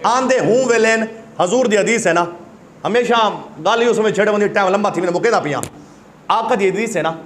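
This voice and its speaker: A man's voice: high voice, normal speed, a bit bright, slight background noise. A male preacher with a sore throat, giving an impassioned sermon that breaks into recited verse. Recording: echoey hall.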